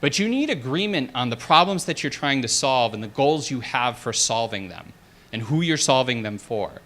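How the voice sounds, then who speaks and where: A man giving a conference talk and taking questions from the audience, direct and energetic. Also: mid-range voice, moderate pace. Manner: direct, energetic, asks the room. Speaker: conference speaker.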